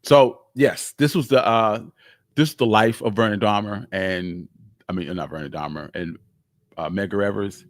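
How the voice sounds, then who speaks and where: A man's voice: lowish voice, normal speed, dry recording, studio quality. A man hosting a live history talk, telling the story emphatically and repeating phrases for weight. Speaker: host of a history show.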